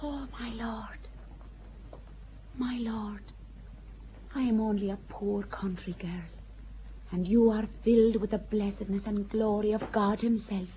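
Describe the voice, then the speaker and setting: A woman's voice: medium pitch, fairly even intonation, unhurried, long pauses, dark tone, natural voice. An actress playing Joan, a young devout peasant girl who speaks bluntly and with fervour, in an audio drama. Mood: reverent. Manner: blunt rustic speech, fervent. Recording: dull audio with faint hiss.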